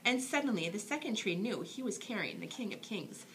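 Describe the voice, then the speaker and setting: A woman reads a children's bedtime story aloud in an expressive, dramatic storytelling manner. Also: mid-range voice, a little fast, slight background noise, low-quality recording. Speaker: storybook reader.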